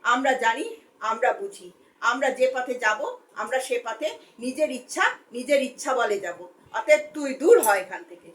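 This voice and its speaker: A woman's voice: a little high, expressive, measured pace, fairly bright tone. A woman voicing a wise, stern merchant leader who firmly admonishes followers in a storybook tale. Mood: angry, defiant. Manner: firm, admonishing, questioning.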